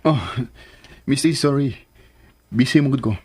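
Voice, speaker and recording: A man's voice: mid-range voice, a little slow, no echo, close to an everyday voice. An actor playing an evasive, impatient businessman in a radio drama. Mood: curt.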